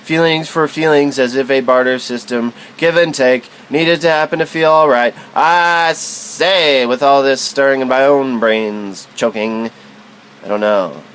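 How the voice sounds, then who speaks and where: A man giving a literary spoken-word recitation in a theatrical, halting, wordy way, stumbling and repeating himself. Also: mid-range voice, a bit bright, slight background noise.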